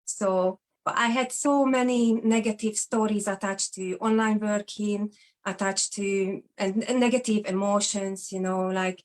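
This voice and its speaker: A woman's voice: medium pitch, fairly even intonation, a little slow. A woman in her forties from Hungary with a Hungarian accent, a guest sharing her own story in an interview in an earnest, open way. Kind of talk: personal testimony in an interview.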